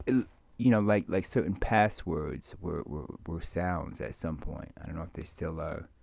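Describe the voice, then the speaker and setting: A middle-aged man giving a rambling, musing ASMR talk, halting and repeating himself as his thoughts meander and trail off. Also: low-pitched, vocal fry, darkish tone, soft background music. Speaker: ASMR video creator. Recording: muffled lo-fi close microphone, dry.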